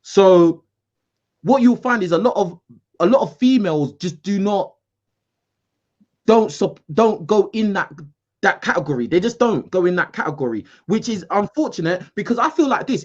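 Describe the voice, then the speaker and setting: Guy hosting a call-in, slangy and animated, muffled lo-fi sound. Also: sits high. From United Kingdom. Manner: animated, slangy, repeats himself for emphasis. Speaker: host. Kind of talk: casual debate on a call-in show.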